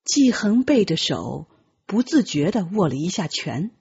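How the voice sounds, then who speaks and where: A woman narrating a novel as an audiobook, with measured, expressive dramatic storytelling. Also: lowish voice, husky, dull audio.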